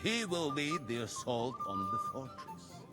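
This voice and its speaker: An actor playing an authoritative medieval lord in a game cutscene, speaking in a formal, declamatory manner. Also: male voice, on the high side, huge pitch swings, unhurried, over loud music. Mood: firm, decisive. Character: stately, authoritative medieval lord commanding his gentlemen. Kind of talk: video game cutscene acting.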